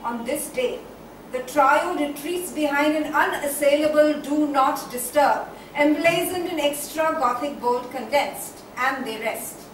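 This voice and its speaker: Casting: actress playing soul-baring Indian woman in stage soliloquy, high voice, slow declamatory delivery, heavy room echo. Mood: solemn, reflective. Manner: declamatory, deliberate, with dramatic pauses and repetitions. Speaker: stage actor. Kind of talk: theatrical dramatic monologue. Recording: very echoey stage, distant mic, faint noise.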